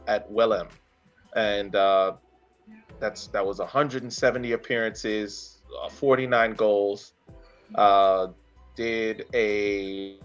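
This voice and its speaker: Male podcast host, thirties, lowish voice, halting casual sports recap, muffled audio with faint music behind. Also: a bit bright. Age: 30s. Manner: halting, searching for words. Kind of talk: casual sports talk, recounting a player's career.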